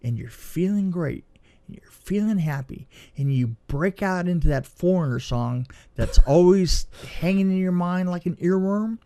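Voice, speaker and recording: A man with a fairly high-pitched voice in casual podcast conversation. He tells anecdotes in an animated way and likes to repeat a phrase to drive it home. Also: measured pace. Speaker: podcast co-host and film production designer. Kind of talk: casual movie review chat and anecdotes.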